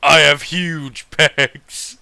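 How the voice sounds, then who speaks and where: A man's voice: fairly high pitch, little pitch movement, drawn-out words, creaky, pushed and bright, clean tone, no room sound. A man hosting a casual read-aloud with mocking commentary, foul-mouthed and incredulous, often breaking off to react. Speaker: host reading fan fiction aloud and commenting.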